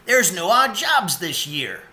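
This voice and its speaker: Out-of-work beaver pal man narrator doing him: downbeat storybook youngster, resigned, slow, very bright voice. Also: high-pitched, very animated, pitched above the performer's own voice, exaggerated voice.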